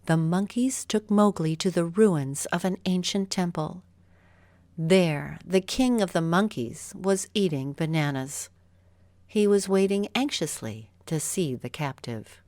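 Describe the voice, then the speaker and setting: A woman reading a scripted children's bedtime story with expressive, careful phrasing. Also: lowish voice, dry recording. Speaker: storybook narrator.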